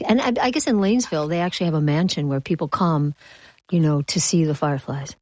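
Woman, news host, slightly low pitch, dry close sound, conversational. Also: fast talker, darkish tone, no room sound. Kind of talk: public radio news presenting.

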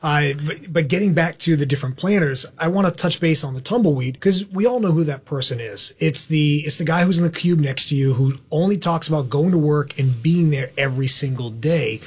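Male radio co-host, husky voice, steady and explanatory. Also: a little high, a little fast, hardly any pauses. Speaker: co-host, financial advisor. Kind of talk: radio talk show. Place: broadcast studio.

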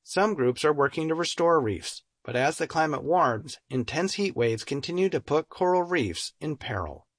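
A man reading a scripted radio science report in a measured way. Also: fairly high pitch, animated, no echo, studio quality. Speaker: radio program narrator. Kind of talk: scripted narration.

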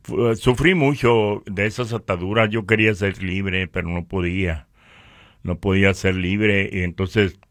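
A man from northern Mexico with a Mexican accent, giving a conversational religious testimony in a halting way, repeating words. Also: low voice, steady tone, fairly bright tone, no echo, clean studio sound.

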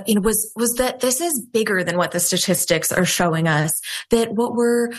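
Woman, interview guest in a broadcast clip. Thoughtful explaining, mid-range pitch, uses "you know" fillers. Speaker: interviewed writer.

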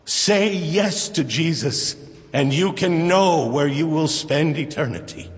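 An actor playing a fervent revival preacher, delivering a solemn, pleading sermon in a declamatory way. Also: male voice, a little high, takes it slowly, over music. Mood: urgent appeal. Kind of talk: evangelistic sermon. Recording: long church-hall reverb.

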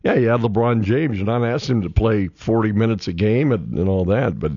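A man's voice: fairly low pitch, lively intonation, a little fast, mellow, breathy edge, no room sound, highs cut off. A man co-hosting a casual morning radio chat, rambling and restarting his sentences, often laughing. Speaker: radio show co-host.

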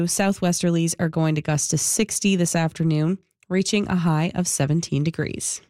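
A woman's voice: mid-range voice, darkish tone, no room sound, clean studio sound. A Canadian woman hosting a morning radio show, slightly sniffly from a cold, reading from a script. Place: radio studio.

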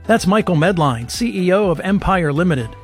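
A man's voice: high-pitched, expressive, moderate pace, faint music behind. A man presenting a short scripted business spot. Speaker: podcast host. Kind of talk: scripted radio promo.

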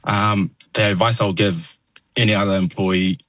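Young man, New Zealand accent, very low husky voice, quiet and halting, dry close sound. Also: fairly even intonation, no echo. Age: young adult. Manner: soft-spoken, halting, many ums. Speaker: guest landscaper. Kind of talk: radio interview answers.

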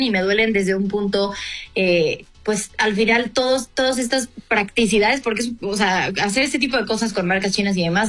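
A woman's voice: medium pitch, fairly bright tone. A woman, a car reviewer co-hosting a casual car talk show, who thinks aloud with many fillers and restarts.

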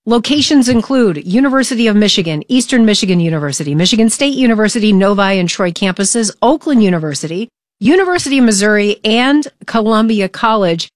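A woman co-hosting a radio show, reading announcements in a brisk, announcer-like way. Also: medium pitch, lively intonation, no room sound, clean studio sound. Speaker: radio co-host. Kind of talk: radio announcement and host talk.

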